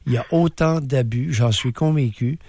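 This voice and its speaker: A man's voice: medium pitch, moderate pace, a little mellow, a little hiss or hum. A man with a Québécois accent co-hosting a call-in radio show, firmly interrupting and challenging the caller. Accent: Québécois French. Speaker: radio co-host, doctor.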